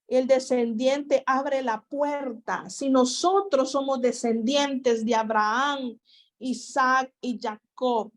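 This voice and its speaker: A woman teaching a sermon over an internet call, repeating phrases and exhorting her listeners. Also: high voice. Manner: slow, repetitive preaching with exhortations. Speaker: Bible teacher. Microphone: internet call connection.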